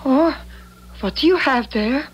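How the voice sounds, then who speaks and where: An actress playing a timid, superstitious household servant in a 1970s radio drama, hesitant and deferential. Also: female voice, high-pitched, a little slow, dull audio. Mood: fearful. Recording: hissy old radio recording.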